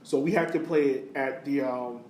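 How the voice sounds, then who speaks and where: A man's voice: medium pitch, normal speed, a little mellow. A man co-hosting a podcast, chatting casually about sports. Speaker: podcast host. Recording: roomy echo, background noise.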